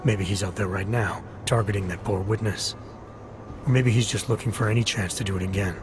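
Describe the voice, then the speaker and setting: An actor playing a terse, skeptical detective in a video game, probing in a clipped, dry, challenging manner. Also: male voice, fairly low pitch, fairly even intonation, brisk, noisy background, close to an everyday voice. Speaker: Interpol investigator. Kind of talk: video game cutscene dialogue. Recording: background music under dialogue.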